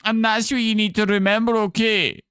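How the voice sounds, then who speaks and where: A man putting on a brash, high-pitched Woody Boy. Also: little pitch movement, brisk, a bit bright, smooth, no echo, clean studio sound. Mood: boastful. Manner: bossy, emphatic declarations. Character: brash self-appointed male accent judge, boastful.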